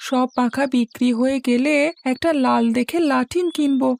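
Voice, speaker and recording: Woman voicing a high-pitched eager little boy. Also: steady tone, moderate pace, gravelly, a little mellow. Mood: boastful. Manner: childlike, pleading and argumentative. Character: eager, chatty, pouty young village boy Raju. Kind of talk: Bengali cartoon story acting. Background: music.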